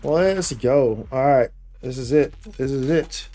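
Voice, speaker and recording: A man's voice: medium pitch, slow pace, warm tone. A man livestreaming casual gameplay commentary in a slangy, dude-heavy way. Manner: slangy, addresses game and viewers as dude and boy. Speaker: game livestreamer. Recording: game music behind voice. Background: game music.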